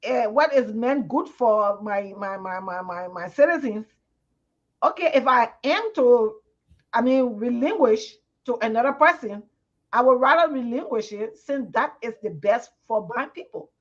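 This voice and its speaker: A woman's voice: a little high, animated, measured pace. A woman from Liberia with a Liberian English accent, a panelist in a live TV discussion, speaking earnestly and often repeating words as she searches for them. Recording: muffled lo-fi broadcast audio.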